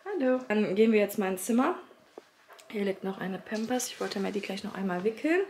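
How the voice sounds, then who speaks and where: A woman in her thirties with a slightly hoarse voice from a cold, chatting casually to viewers about her day at home while filming herself with a phone held at arm's length. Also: mid-range voice, lively intonation, brisk, a little mellow, a little hiss or hum. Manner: chatty, talks straight to viewers with many fillers. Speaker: family vlogger, mother of three. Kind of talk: casual vlog chat to camera. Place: child's bedroom and rooms at home, indoors. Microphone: phone camera held at arm's length.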